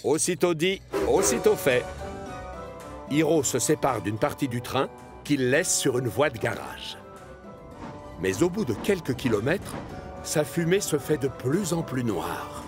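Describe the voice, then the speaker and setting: Expressive male storyteller, lively intonation. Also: mid-range voice, unhurried, over music. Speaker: narrator. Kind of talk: animated children's story narration.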